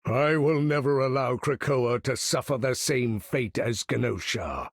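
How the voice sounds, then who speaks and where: An actor voicing an imperious, weary older mutant leader in a video game, with grandiose, formal, commanding speech and a gravelly tone. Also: male voice, medium pitch, unhurried, dry recording, studio quality, natural voice. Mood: grave, resolute. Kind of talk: video game character voice lines.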